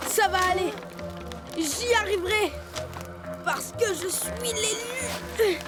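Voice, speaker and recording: A woman's voice: very high-pitched, takes it slowly. A woman voicing a brave, stubborn young male leopard cub in a children's cartoon dub, talking in an earnest, determined way. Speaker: dubbing voice actor. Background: music.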